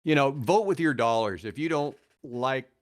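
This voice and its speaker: Male podcast guest, mid-pitched, casual and opinionated, emphatic, halting delivery. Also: lively intonation.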